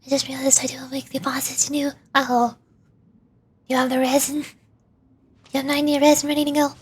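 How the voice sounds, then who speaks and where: A woman's voice: high-pitched, completely flat delivery, brisk. A woman livestreamer chatting casually while playing a video game. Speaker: livestreamer, vtuber. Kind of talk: casual gaming livestream chat.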